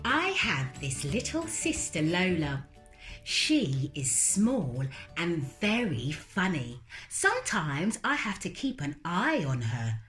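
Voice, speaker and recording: A woman reading aloud as a storybook narrator, in an animated style made for children. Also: lowish voice, slow, faint music behind. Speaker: storybook reader.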